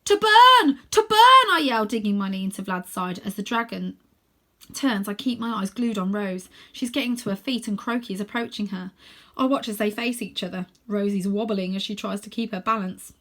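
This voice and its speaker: A woman narrating a children's story in a dramatic, expressive, suspenseful way with clear emphasis. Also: mid-range voice, a little fast. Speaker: storybook reader, teacher.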